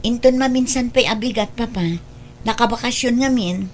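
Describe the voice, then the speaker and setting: A woman's voice: a little high, moderate pace, slight background noise, close to an everyday voice. A woman playing a caring, sensible grown daughter who runs the household, in a radio drama. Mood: caring, concerned.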